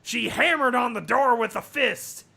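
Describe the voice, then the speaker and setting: A man putting on a raspy, strained, grating comic narrator voice to read a story in a mock-dramatic way. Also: very high-pitched, measured pace, bright, forceful tone, full character voice. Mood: strained, exaggerated. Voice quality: raspy, gravelly. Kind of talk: mock-dramatic reading of fan fiction.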